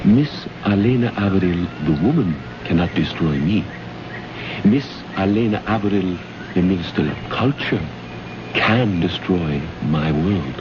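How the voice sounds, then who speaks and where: An actor playing a calm, cryptic man from another planet in a radio drama, speaking in a measured, deliberate, grave way. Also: low-pitched. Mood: grave warning. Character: calm, cryptic alien man guarding his world. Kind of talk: old-time radio drama acting. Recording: noisy, muffled old radio recording.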